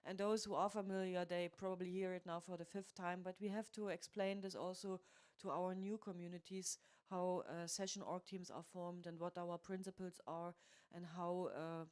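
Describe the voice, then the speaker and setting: A woman at a planning meeting, calmly explaining to newcomers how teams are formed, with frequent fillers. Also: on the low side, moderate pace. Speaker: meeting organizer.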